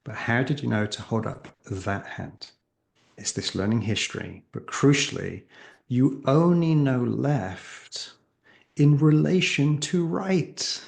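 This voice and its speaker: A man with a creaky voice speaking as an interview guest and coach, explaining emphatically with rhetorical questions and acted-out examples. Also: mid-range voice, slow, a little mellow. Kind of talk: animated interview conversation, explaining.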